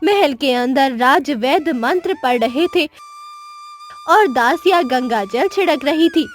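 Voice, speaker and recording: A woman's voice: high voice, expressive, unhurried. A woman narrating a children's tale as storyteller, with dramatic scripted delivery.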